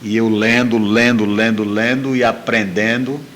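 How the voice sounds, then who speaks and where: A man's voice: lowish voice, flat delivery, a little slow, fairly bright tone, slight background noise. A middle-aged man telling a personal story as an interview guest, repeating phrases for emphasis.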